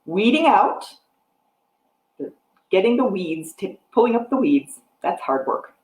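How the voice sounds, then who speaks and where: A woman's voice: mid-range voice, sing-song, slow. American lady doing kids storytime, really sing-song up and down, simple words, echoey room. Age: middle-aged. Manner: simple explanations with gentle questions to children. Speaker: children's librarian hosting storytime. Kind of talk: picture-book read-aloud and craft talk to young children. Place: room at home with wooden floor and piano. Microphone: device microphone at about arm's length.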